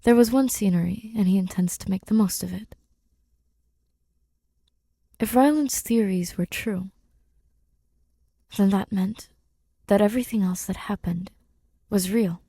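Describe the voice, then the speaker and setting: A woman reading fiction as an audiobook narrator, in a hushed, deliberate and dramatic manner. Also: medium pitch, vocal fry, breathy edge, no room sound. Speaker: fan fiction podfic reader.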